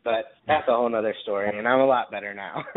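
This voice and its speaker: A man's voice: medium pitch, brisk. A man chatting casually as a musician guest in an interview. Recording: thin phone line, radio show.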